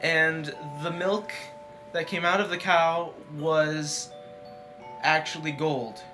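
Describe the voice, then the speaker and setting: A man's voice: a little high, steady tone, slow pace, over music. Hesitant man telling stories off the cuff. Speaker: podcast participant. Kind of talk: casual improvised storytelling and chat.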